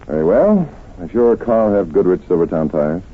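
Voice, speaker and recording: A self-assured doctor-sleuth in a 1940s radio mystery. His low, rough-edged voice moves expressively while staying polite and probing. It comes through a thin, telephone-like vintage recording. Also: sing-song, exaggerated voice. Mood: probing, confident. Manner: measured, probing, dryly courteous. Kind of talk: 1940s radio drama acting. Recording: tinny, husky-sounding old radio recording.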